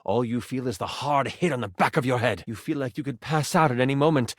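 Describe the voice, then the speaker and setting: An actor voicing a living twenty-sided die, an eager, playful game master who narrates a tabletop adventure theatrically and expressively. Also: male voice, medium pitch, a little fast, dry recording, studio quality, close to an everyday voice. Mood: dramatic, suspenseful. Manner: dramatic storytelling, expressive, playful.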